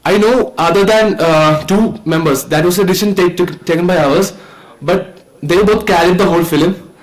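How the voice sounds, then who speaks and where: Student filmmaker, male, 19, Indian accent, higher-pitched, earnest and halting delivery from a stage. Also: poor audio. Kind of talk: informal thank-you speech on stage. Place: event stage at a film festival.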